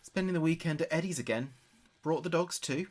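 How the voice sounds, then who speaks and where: A man's voice: fairly high pitch, fairly quick, lightly acted. An actor playing a grieving adult son in an audio drama, speaking hesitantly and confidingly as if taping messages for his dead father. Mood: fond. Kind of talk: audio drama monologue as a taped diary.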